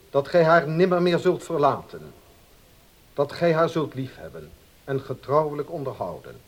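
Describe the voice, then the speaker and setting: An actor playing a solemn, formal wedding officiant in a radio play, reciting in a ceremonial, declamatory way. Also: male voice, mid-range voice, a little mellow. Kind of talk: radio drama acting.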